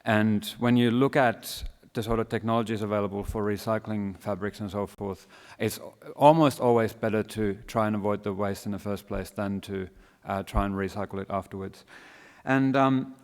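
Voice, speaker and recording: A low-voiced man with a Finnish accent blended with Australian vowels, speaking at an event in a relaxed, self-deprecating way. His voice is smooth and resonant, and it carries a long reverb from the hall. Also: normal speed. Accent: Finnish with Australian mix. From Finland. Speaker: fashion design academic, panel speaker. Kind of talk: panel presentation.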